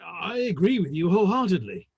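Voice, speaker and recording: Guy acting polite victorian theatre gent, husky, swingy pitch, dull lo-fi audio. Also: big pitch swings, brisk, dry recording, full character voice. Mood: tactful, placating. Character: tactful, conciliatory Victorian theatre gentleman. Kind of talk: dramatic play reading.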